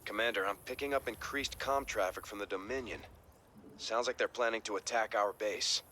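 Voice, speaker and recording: A man playing an earnest military officer who briefs his commander in a crisp, formal, dutiful way for a video game. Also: on the low side, moderate pace, noisy background, lightly acted. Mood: urgent, formal.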